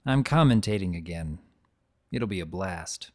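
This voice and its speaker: A man voicing an aged, gentle dragon in a dramatic reading, reflective and sentimental as he tells his own story. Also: low voice, lively intonation, unhurried, voice pushed down, heavily acted. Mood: tender, quiet. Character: aged dragon, gentle, nostalgic, fondly guarding keepsakes.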